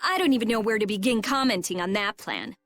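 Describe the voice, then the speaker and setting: A woman voicing a blunt, skeptical teenage girl in an animated role-playing video game, dry and sarcastic. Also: mid-range voice, fast, no echo. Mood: sarcastic, impatient. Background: faint background music.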